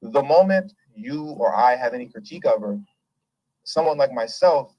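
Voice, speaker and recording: A man hosting a casual commentary show, talking in an animated, emphatic and rambling way and laughing often. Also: mid-range voice, moderate pace, no room sound, lo-fi sound. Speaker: host.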